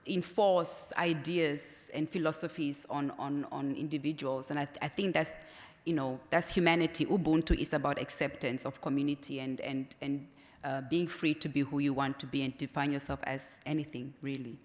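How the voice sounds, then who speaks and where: A woman's voice: sits low, fairly even intonation, moderate pace. A woman on a discussion panel, speaking thoughtfully and repeating words as she starts a thought. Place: stage in a large hall. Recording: long hall reverb.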